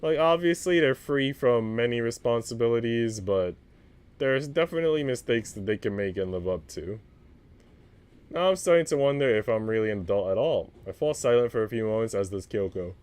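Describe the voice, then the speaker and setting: A man's voice: mid-range voice, expressive, a little fast, darkish tone, smooth. A man narrating a visual novel aloud as a streamer, moving between scripted prose and casual reaction.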